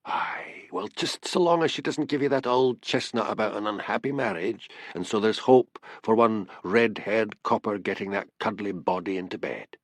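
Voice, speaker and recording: A man voicing a sly, cunning old Highland seer, needling and teasing in an audiobook story. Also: normal speed, hardly any pauses, no echo. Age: older.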